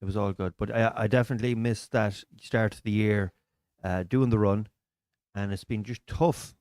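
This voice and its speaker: Irish guy yarning on a live stream, lowish smooth voice, dry close sound. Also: fairly even intonation, normal speed, a little mellow, no room sound. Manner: chatty, storytelling, local slang. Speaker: comedian and podcast host. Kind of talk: casual chat on a live stream. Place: small studio.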